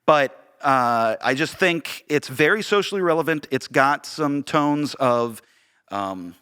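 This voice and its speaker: A man's voice: a little high, animated, takes it slowly, a bit bright, clean tone. A man with an American accent talking casually on a podcast as co-host, often searching for words and repeating phrases.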